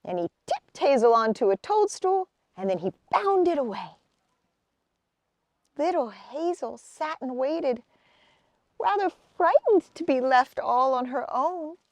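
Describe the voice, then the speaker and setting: Female storybook reader: high voice, very animated intonation, unhurried children's narration, dry sound. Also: no room sound.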